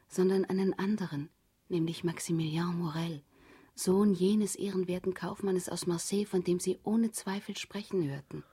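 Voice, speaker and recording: An earnest young woman in a German radio play, devoted and in love. Her voice is very breathy and hushed, with a mellow, dark tone. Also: lowish voice. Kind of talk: German radio drama acting.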